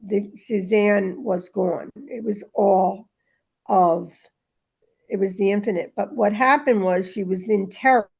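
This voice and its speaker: A woman's voice: medium pitch, measured pace, gravelly, darkish tone, husky. A woman talking casually and reading aloud in a spiritual discussion group, in a rambling, emphatic way that circles back on itself.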